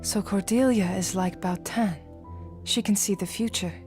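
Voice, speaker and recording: A woman's voice: mid-range voice, moderate pace, over music, lightly acted. Woman acting earnest, probing young game heroine. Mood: earnest, questioning. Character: earnest, questioning younger woman with psychic visions. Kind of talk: dramatic video game acting.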